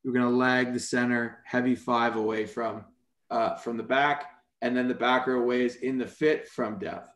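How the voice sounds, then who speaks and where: A man's voice: mid-range voice, fairly even intonation, moderate pace, a bit bright. A man presents a football coaching clinic over a video call and walks through defensive schemes. His tone is casual and explanatory, full of small fillers. His voice sits in the middle of the range. The room around him sounds noticeably echoey. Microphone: video call microphone.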